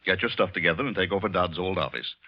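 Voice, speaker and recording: An actor playing a pompous, patronizing older company boss with an unctuous manner in an old-time radio suspense play. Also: male voice, deep voice, fast. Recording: muffled vintage radio recording.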